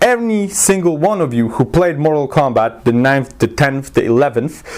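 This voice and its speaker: A man's voice: on the high side, a little mellow. A man giving a casual movie review to his viewers, talking informally and often cutting himself off as he rambles. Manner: rambling, self-interrupting, informal. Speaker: YouTube film reviewer and channel host.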